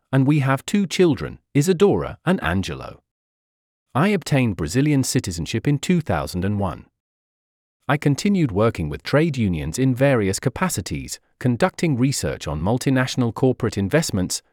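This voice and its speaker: An older man from the Netherlands giving measured, formal answers as an interviewee. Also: medium pitch, darkish tone, no room sound, clean studio sound.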